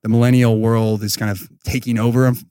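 A man's voice: mid-range voice, level tone, brisk, mellow, clear, resonant tone, no room sound, clean studio sound. A man speaking as a startup founder and podcast guest, in casual, enthusiastic, slangy talk peppered with "like" and "you know.".

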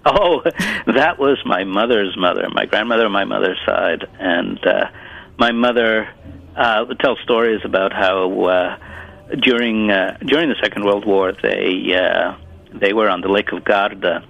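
A man's voice: lowish voice, a little slow, vocal fry, a bit bright. A man from Italy whose first language is Italian, a cookbook author speaking over the phone in a casual interview, hesitant with many fillers and restarts. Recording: dull muffled phone line.

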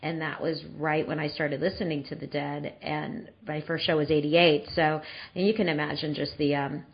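A podcast host in her forties talks in a casual, meandering way, circling back with plenty of fillers and restarts. Her voice sits on the lower side, and the recording sounds a bit dull and lo-fi. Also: flat delivery, normal speed, breathy edge. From San Francisco Bay Area. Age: 40s. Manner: rambling, many fillers and restarts.

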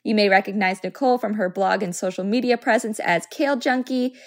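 A woman's voice: mid-range voice, fairly quick. A woman in her thirties hosting a podcast, friendly and bubbly as she interviews a guest. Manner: bubbly, warm, laughs easily. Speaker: podcast host.

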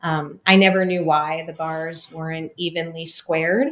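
A woman's voice: sits low, flat delivery, unhurried, fairly bright tone. A woman hosting a podcast interview, chatty and rambling with lots of fillers. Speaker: podcast host. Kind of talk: casual interview chat.

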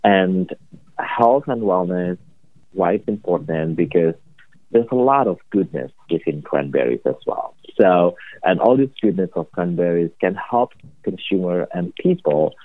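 A man's voice: low voice, animated, a little slow, dry recording. A man from Indonesia with an Indonesian accent, a company executive answering interview questions earnestly with many fillers. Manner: earnest, filler-heavy, upbeat. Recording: tinny phone-line audio.

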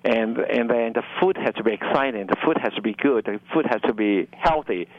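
A middle-aged man with a Hong Kong accent, speaking in halting, fragmented phrases as an interview guest. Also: medium pitch, no room sound, muffled recording. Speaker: university dining director, interview guest. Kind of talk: conversational radio interview.

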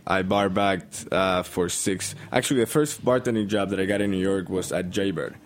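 A man's voice: low-pitched, steady tone, normal speed, a little hiss or hum. A young man from Colombia with a Colombian Spanish accent, a bartender guest chatting hesitantly with many ums. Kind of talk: casual interview chat.

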